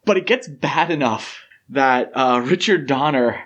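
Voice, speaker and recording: A man's voice: medium pitch, expressive, a little slow, a bit bright, muffled recording. A man hosting a podcast, discussing film in a casual but detailed, explanatory way. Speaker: podcast host.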